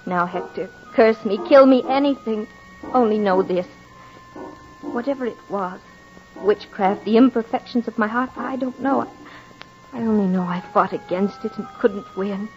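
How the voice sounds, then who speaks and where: An actress playing an anguished, bewitched, dying wife in an old-time radio horror drama, speaking in halting, fervent melodrama. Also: medium pitch, darkish tone, lightly acted. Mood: desperate, guilty. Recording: dull vintage radio recording, faint music.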